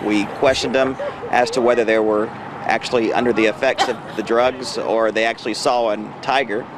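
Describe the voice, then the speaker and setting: A man's voice: mid-range voice, fairly even intonation, normal speed. A man speaking as a police spokesman, giving a plain factual account in an on-scene press interview outdoors. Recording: outdoor urban and vehicle noise.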